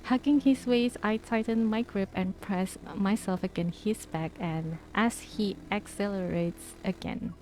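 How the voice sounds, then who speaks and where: A woman's voice: mid-range voice, unhurried, few pauses, darkish tone, poor audio. A woman streamer who reads a romance game's narration aloud and chats casually with viewers between passages. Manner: casual, thinking aloud with fillers. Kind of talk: reading game text aloud and chatting with viewers.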